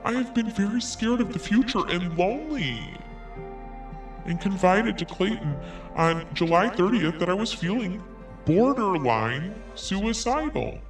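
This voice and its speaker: A man's voice: sits high, lively intonation, unhurried, recorded from a distance. A man podcast host reads an email aloud and mocks it with dry, snarky comments between lines. He sits a little back from the mic, so he sounds set apart from it. Faint music plays under his voice. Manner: sarcastic, cutting in with snarky asides.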